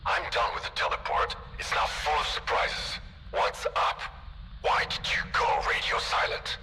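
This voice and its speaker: An actor playing a gruff, seasoned guerrilla fighter in a video game, speaking in terse, clipped phrases. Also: male voice, mid-range voice, sing-song, measured pace, background noise. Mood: weary, businesslike. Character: gruff, seasoned male stalker guerrilla ally. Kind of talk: video game cutscene dialogue.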